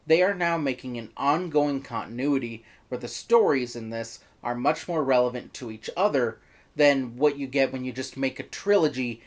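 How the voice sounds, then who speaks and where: A reviewer narrating a scripted video review in an opinionated way, with wry, exasperated asides. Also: mid-range voice. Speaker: reviewer, host.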